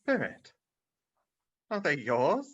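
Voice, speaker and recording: A man's voice: a little high, very animated, takes it slowly, fairly bright tone, breathy edge, heavily acted. A man playing a frightened, penitent old miser in a radio drama, recorded over a video call. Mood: troubled. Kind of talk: vintage radio drama acting. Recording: dull video-call audio.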